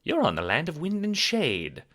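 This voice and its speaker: A man voicing a timid, polite female alien who sounds worried and gentle, in an audio drama. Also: fairly high pitch, wildly swooping pitch, normal speed, pitched above the performer's own voice, full character voice.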